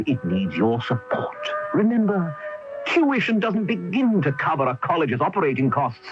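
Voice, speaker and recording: An old, muffled radio spot features a wry Elizabethan playwright. He pleads with earnest, mock-dramatic flourish. His pitch leaps about in a lively way. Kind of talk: scripted comic public service radio ad. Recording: band-limited old 1980 radio broadcast.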